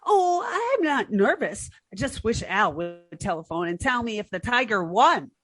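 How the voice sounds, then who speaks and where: A woman's voice: medium pitch, very animated, moderate pace, fairly bright tone, faint music behind. A woman playing a naive, nervous young woman in 1940s radio comedy style, hesitant and stammering. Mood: flustered.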